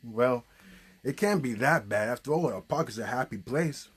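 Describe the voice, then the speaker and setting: A man's voice: mid-range voice, lively intonation, moderate pace. A man hosting an improvised puppet show, prompting a story along with conversational questions. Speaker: puppeteer host.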